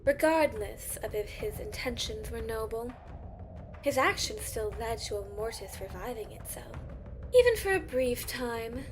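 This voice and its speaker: A woman's voice: on the high side, animated, unhurried, slight background noise. A woman playing a haughty, solemn judge of mythic beings in a fantasy audio drama, speaking formally. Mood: grave. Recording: loud music under the voice.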